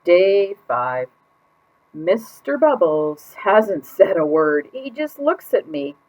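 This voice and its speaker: A woman's voice: lowish voice, big pitch swings, slow pace, heavily acted. A woman reading a children's picture book aloud as a put-upon goldfish keeping a diary, with exaggerated, exasperated emphasis. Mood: weary, deadpan. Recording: dull lo-fi sound.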